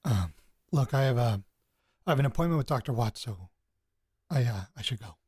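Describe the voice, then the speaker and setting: A man's voice: medium pitch, expressive, darkish tone, husky, dry recording. Jittery, sardonic young man, close-miked. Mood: awkward. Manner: halting, sardonic, sweary, conversational. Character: jittery, bitter young man investigating his grandparents' past. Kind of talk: audio drama, naturalistic spoken recorded diary.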